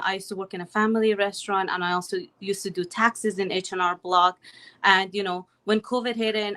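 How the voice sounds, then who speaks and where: A woman's voice: medium pitch, fairly bright tone. A woman in her thirties from Afghanistan, talking earnestly as an interview guest over a video call, with many "you know"s and "right"s. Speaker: guest, accountant and online business owner. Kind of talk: casual interview talk. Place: home in Calgary.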